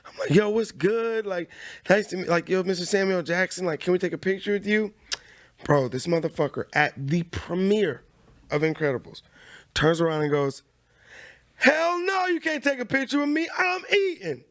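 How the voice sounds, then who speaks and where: High-voiced, animated podcast co-host man. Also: normal speed. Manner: animated storyteller, slangy, swears a lot. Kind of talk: casual podcast banter.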